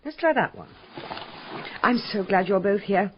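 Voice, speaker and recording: A woman's voice: medium pitch, animated, measured pace, husky. An actress playing a vain, breezy society lady with an airy, capricious, theatrical manner in a radio drama. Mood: breezy, dismissive. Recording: slightly muffled radio drama sound.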